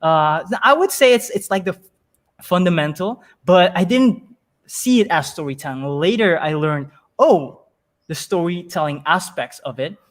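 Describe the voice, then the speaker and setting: A man's voice: sits high, lively intonation, normal speed. A man answering as an interview guest in a conversational, storytelling way, emphatic and often repeating words as thoughts form.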